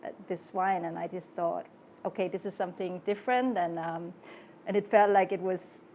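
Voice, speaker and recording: A woman's voice: on the low side, fairly even intonation, normal speed, slight background noise. A woman in her forties from Denmark, a restaurant wine buyer, introducing herself on a podcast in a hesitant way full of ums. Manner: hesitant, many ums, laughs softly.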